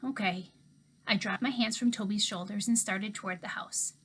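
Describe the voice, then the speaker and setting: A woman teacher reading a children's novel aloud to her class with expressive storybook delivery. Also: mid-range voice, fairly quick, husky.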